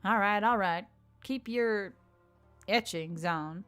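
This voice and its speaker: A woman's voice: medium pitch, slow, fairly bright tone, clean tone. A woman voicing a confident young female adventurer, casual and teasing, in an audio story. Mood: curious, cheeky. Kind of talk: audio fiction character acting. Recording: background music under the voice.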